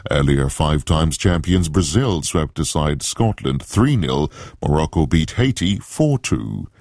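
A man with a very low voice reads the world news in a measured, formal way. The sound is close and dry, with no room around it. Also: expressive, a little mellow, no echo. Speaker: newsreader. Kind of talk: scripted news bulletin.

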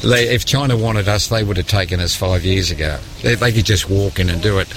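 An older man gives his opinions bluntly in a casual interview. His voice is fairly low and he speaks emphatically. A little background noise sits behind him. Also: fairly quick. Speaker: local businessman, interviewee. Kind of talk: informal conversational interview.

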